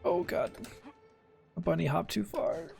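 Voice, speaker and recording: A man's voice: fairly high pitch, expressive, unhurried, vocal fry, darkish tone, faint music behind. A man giving casual, sarcastic commentary while playing a video game, with muttered asides. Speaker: gameplay streamer.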